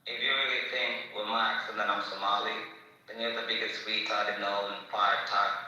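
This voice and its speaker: A man rants and hurls insults in a combative, profane stream of talk. His voice is very bright and cutting. He sounds set back from the mic in a strongly echoing space. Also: fairly low pitch, little pitch movement, fairly quick. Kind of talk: heated online group-chat argument. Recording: echoey, distant from the mic.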